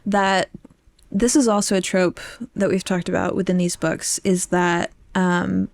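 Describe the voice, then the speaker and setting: A woman's voice: on the low side, level tone, measured pace, vocal fry, a little mellow, no echo. A woman podcast host chatting informally about books, opinionated and emphatic, happy to swear. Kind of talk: casual opinionated book discussion.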